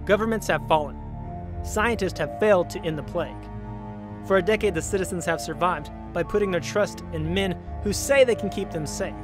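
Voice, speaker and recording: An actor plays a theatrical male host introducing a radio horror anthology. His voice sits high for a man. Music plays loudly beneath his dramatic, measured script. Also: close to an everyday voice. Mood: ominous, solemn.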